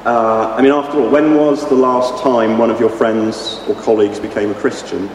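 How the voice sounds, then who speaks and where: Croaky male preacher, hesitant and restarting, heard from across a reverberant hall. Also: medium pitch, fairly even intonation, a little mellow. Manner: halting, with many restarts and ums. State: slightly croaky voice. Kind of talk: sermon. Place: church hall. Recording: echoey hall from far off, noisy.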